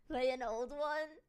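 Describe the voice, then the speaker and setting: A woman with a Northern English accent, chatting casually on a live stream and answering viewers, with sudden excited shouts. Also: sits high. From Northern England. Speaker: VTuber streamer.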